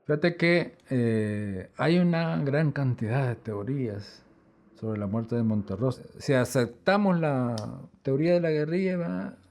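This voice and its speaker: A man's voice: medium pitch, measured pace, a little mellow. A man explaining things conversationally as an interviewee.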